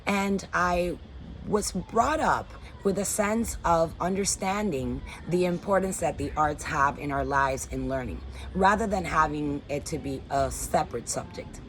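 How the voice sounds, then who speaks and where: A woman's voice: low voice, a little slow, a bit bright, slight background noise, low-quality recording. A woman in her forties from the Dominican Republic, speaking in an earnest, upbeat way about her work as a teaching artist, recorded on a phone in a backyard. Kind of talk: personal statement to camera. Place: backyard under a canopy, outdoors.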